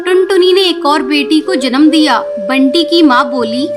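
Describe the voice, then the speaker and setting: High-pitched woman narrating a cartoon story. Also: a little slow.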